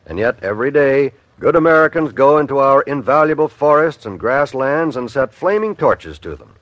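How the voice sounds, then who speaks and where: A male announcer from classic radio with a lively, varied pitch and a dry, close sound. He reads formally and earnestly, stressing key words. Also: moderate pace, no room sound, lo-fi sound. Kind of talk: scripted old-time radio public service announcement.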